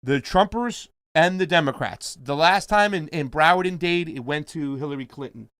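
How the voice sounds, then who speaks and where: A man's voice: a little high, moderate pace, a bit bright, clear, resonant tone, no echo, clean studio sound. A man hosting a live political commentary stream, chatting casually and reading out viewer comments. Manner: chatty, reads viewer chat aloud. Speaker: host.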